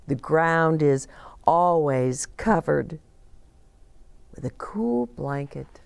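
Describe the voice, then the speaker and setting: A woman in her sixties reads to children as a storyteller. Her voice is fairly low and creaky, with very animated pitch. She leaves dramatic pauses and hushes key words. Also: no room sound. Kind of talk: expressive picture-book read-aloud. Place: small decorated indoor set, seated.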